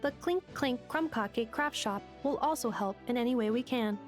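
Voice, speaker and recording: A woman voicing a polite, deadpan female shop robot in a video game, formal and service-like. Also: on the high side, moderate pace, soft background music, natural voice. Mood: politely deadpan.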